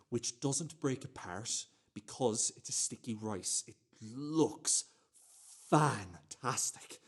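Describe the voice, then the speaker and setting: An actor playing an anxious, self-justifying man in a radio play monologue, talking in a halting, repetitive, conversational way. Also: mid-range voice, a little mellow, slightly rough, full character voice. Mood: defensive, eager.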